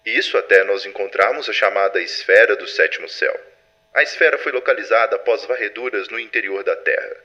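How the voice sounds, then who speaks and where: Man acting a crisp, authoritative superintendent. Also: fairly low pitch, bright, forceful tone, highs cut off. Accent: Brazilian. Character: composed, authoritative male superintendent of a secret organization. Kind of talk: formal scripted archive recording, audio drama. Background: music.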